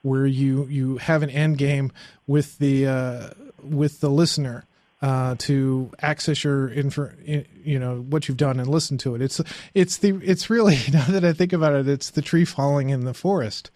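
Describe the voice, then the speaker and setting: A man's voice: medium pitch, steady tone, moderate pace, a little mellow, no echo. Man, podcast host, mid-range voice, casual chat, rambling and self-correcting, very little room sound. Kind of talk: casual conversational interview.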